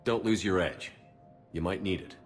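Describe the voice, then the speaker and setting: An actor playing a steady military commander in a video game, asking brief, clipped questions. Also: male voice, low voice, moderate pace, soft background music, lightly acted.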